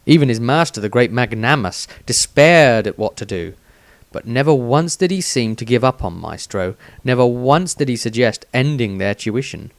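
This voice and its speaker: A male author narrates a fantasy tale in a medium-pitched voice. His reading is deliberate and dramatic, and the sound is dry with little room to it. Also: expressive, no room sound. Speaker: author reading own story. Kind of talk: scripted fantasy audiobook narration.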